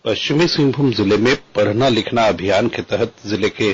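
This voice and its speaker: A man's voice: fairly low pitch, normal speed, husky. A man reading out a scripted news report as a correspondent. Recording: dull, muffled radio report.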